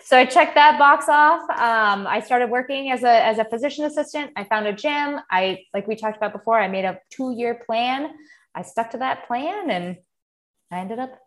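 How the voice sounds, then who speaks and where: A woman's voice: on the high side, lively intonation, moderate pace, a bit bright. An American woman in her thirties, a podcast guest, speaking in a candid, reflective way and quoting her own thoughts. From United States. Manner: earnest, candid, quotes herself in bursts. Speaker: podcast guest, former Olympic gymnast. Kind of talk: reflective interview talk.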